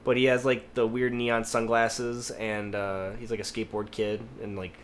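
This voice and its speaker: A man's voice: fairly low pitch, level tone, normal speed. A man co-hosting a podcast, telling rambling anecdotes in casual chat and swearing freely. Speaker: podcast host. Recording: noticeable background noise.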